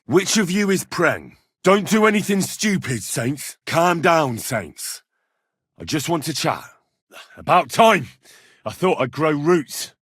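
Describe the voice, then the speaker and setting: A man playing a brash, blunt young medieval squire in a video game, with a husky voice. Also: on the high side, sing-song, slow pace, fairly bright tone, no room sound, clean studio sound, full character voice. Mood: impatient. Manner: blunt, colloquial, assertive. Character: brash young medieval Bohemian squire, plain-spoken and quick-tempered. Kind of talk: video game dialogue acting.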